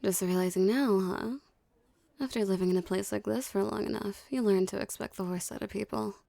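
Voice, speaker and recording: A woman's voice: mid-range voice, fairly even intonation, dark tone, dry recording, close to an everyday voice. A woman playing a dominant, teasing mafia boss in an ASMR roleplay, speaking with cool, condescending flirtation. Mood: dry, knowing. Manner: smooth menacing flirtation, condescending, unhurried. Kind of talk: ASMR roleplay, scripted character acting.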